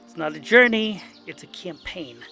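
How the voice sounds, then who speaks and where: A man's voice: high-pitched, animated, normal speed, vocal fry, sharp tone, faint music behind, exaggerated voice. A man voicing a curt, reluctant, weary old adventurer in a comedic fantasy audio drama. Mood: irritated, reluctant. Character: grumpy, ageing, weary gray adventurer.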